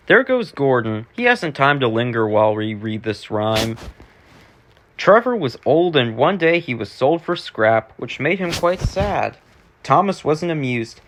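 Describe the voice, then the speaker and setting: A man's voice: medium pitch, a bit bright, slight background noise. A man narrating children's rhymes as a read-aloud, reciting the verse in a sing-song way. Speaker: narrator, reader.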